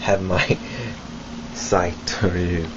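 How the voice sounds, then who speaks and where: Young swedish guy on a webcam, very low flat voice, lots of ums and restarts, dull hissy sound. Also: drawn-out words. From Sweden. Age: young adult. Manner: hesitant, many ums and restarts. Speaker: vlogger. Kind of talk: casual self-introduction to webcam. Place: small room indoors at home. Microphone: webcam at close range. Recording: dull lo-fi webcam audio with hiss.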